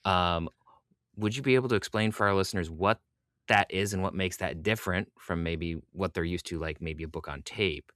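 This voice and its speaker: A man with a low voice and a close, dry sound hosts a podcast. He talks in a casual, conversational interviewing style. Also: moderate pace, a bit bright, no echo.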